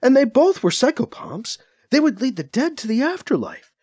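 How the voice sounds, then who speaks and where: Man doing a rambling fervent mother, husky, fairly high voice. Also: very animated, normal speed, darkish tone, no room sound, heavily acted. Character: distressed, rambling middle-aged mother.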